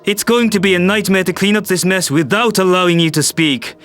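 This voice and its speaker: A man's voice: high-pitched, fairly quick, fairly bright tone, faint music behind. A man playing a harried human world leader, tense and exasperated.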